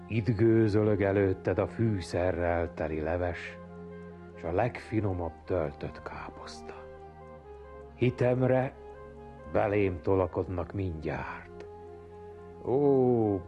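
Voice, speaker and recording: Male poetry reciter: very low, dark voice, savoring exclamatory delivery, dull lo-fi recording with music. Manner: savoring, exclamatory, dramatic swells and hushes. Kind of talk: theatrical poem recitation.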